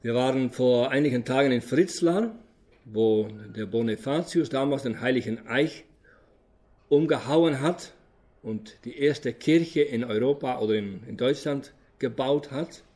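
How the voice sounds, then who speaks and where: A man's voice: mid-range voice, unhurried, mellow. Dutch man speaking German to a church. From Netherlands, raised in Friesland. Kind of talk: church address.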